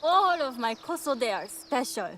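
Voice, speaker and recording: An actress playing a brisk, proud kimono maker in a video game, talking like an expressive, matter-of-fact saleswoman. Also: high voice, slight background noise, full character voice. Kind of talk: video game cutscene acting.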